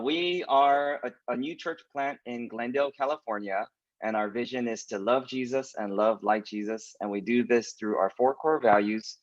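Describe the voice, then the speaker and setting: A lower-voiced man hosting a church webinar over a video call. He talks casually and hesitantly, with plenty of "like" and "um". The audio is dry and a bit dull and lo-fi. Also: no echo. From United States. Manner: hesitant, many fillers and "like".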